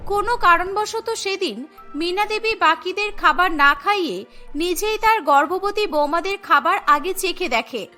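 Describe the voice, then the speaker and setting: A woman's voice: extremely high pitch, expressive, measured pace, pushed and bright, faint music behind. A woman reading scripted story narration for a cartoon.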